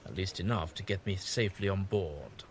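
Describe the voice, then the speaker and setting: A man's voice: low voice, fairly quick, slight background noise. A man playing a whimsical, kindly, evasive time-travelling adventurer in an audio drama, with fussy and hesitant politeness. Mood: gentle, hesitant.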